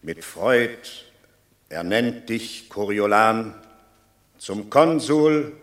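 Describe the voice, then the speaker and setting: A commanding Roman senator. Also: male voice, fairly low pitch, animated, extremely slow, echoey room. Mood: formal command. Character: authoritative male Roman senator. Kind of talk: classic stage radio drama.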